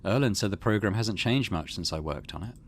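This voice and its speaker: A man's voice: lowish voice, animated, brisk. A man hosting a let's play, musing casually and reading game text aloud in a conversational way. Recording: faint background noise.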